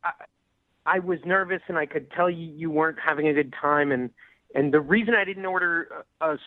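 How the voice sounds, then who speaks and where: Awkward guy caller, highish voice, keeps stumbling, thin phone line. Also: no echo. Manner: hesitant, awkward, halting. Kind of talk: casual phone conversation. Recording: narrow, tinny phone line.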